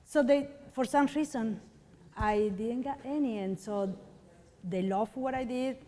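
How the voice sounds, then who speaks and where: A woman sculptor tells stories casually in a halting, word-searching way. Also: a little high, dark tone, big-room sound. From Argentina. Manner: rambling, halting, searching for words. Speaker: stone sculptor. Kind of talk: casual interview, storytelling.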